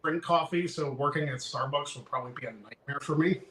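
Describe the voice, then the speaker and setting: A man's voice: on the high side, brisk, sharp tone, a little hiss or hum. Laid-back man in an echoey room. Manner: brief, laid-back interjections, reads viewer comments. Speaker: podcast host. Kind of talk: casual chat.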